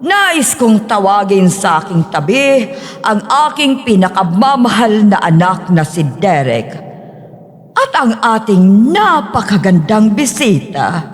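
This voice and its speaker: A woman playing a rich, scheming sixty-year-old matriarch, speaking in an imperious, measured, formal way in an audio drama. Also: mid-range voice, big pitch swings. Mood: grandly gracious. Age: older. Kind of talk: dramatized audio story acting. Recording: long hall reverb.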